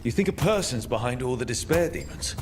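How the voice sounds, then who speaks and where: A man's voice: medium pitch, lively intonation, brisk, background noise, natural voice. An actor playing a young, plain-spoken male adventurer in a fantasy video game, direct and casual. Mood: questioning. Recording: game music behind the voice.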